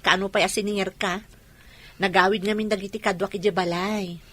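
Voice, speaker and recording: A woman playing a confident, affectionate young businesswoman in a radio drama, with an animated lilt. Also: medium pitch, moderate pace, natural voice. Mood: teasing.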